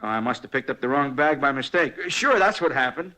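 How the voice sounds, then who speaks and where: An actor playing an indignant young robbery suspect with an American accent, slangy and protesting, in a 1950s radio drama. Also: male voice, mid-range voice, expressive, fairly quick, sharp tone. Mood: evasive. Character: indignant young male robbery suspect, about thirty, cocky. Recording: noisy, clicky vintage radio recording.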